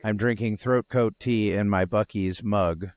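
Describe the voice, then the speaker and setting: A man's voice: fairly low pitch, fairly even intonation, unhurried, darkish tone, no echo, clean studio sound. Tired, scratchy-voiced male podcast co-host. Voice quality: groggy and scratchy. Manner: rambling, with frequent restarts and fillers. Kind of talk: casual chat.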